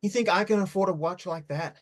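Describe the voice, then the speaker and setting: An actor playing a bitter, worn-out addict in despair in a drama reading, agitated, defensive and stumbling over words. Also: male voice, high-pitched, brisk. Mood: scornful, mocking. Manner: agitated, defensive, stammering, swearing. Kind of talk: script reading of a stage drama.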